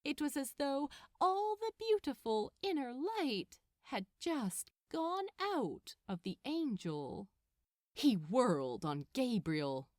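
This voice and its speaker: A woman reading fiction aloud as an audiobook narrator, with expressive, dramatic and deliberate phrasing. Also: sits high, no room sound, studio quality.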